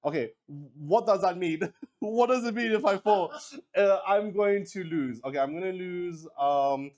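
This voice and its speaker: A man hosting a solo tabletop roleplaying game in casual, halting live-stream chat, thinking aloud and repeating words. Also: sits high, darkish tone. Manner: swears casually.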